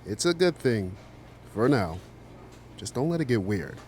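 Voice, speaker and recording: An actor playing a protective café manager who talks bluntly and grumbles. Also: male voice, on the low side, big pitch swings, a little slow, a little mellow, a little hiss or hum. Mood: grumbling. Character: gruff male coffee shop boss, protective.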